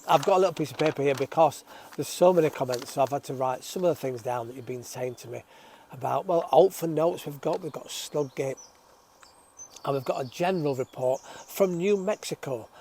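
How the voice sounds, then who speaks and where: A man hosting an allotment gardening show outdoors, chatting cheerfully to viewers and reading their comments, his voice still a little croaky after an illness. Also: fairly high pitch, normal speed, a little mellow, a little hiss or hum. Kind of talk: casual chat to camera. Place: outdoors on an allotment, birdsong around.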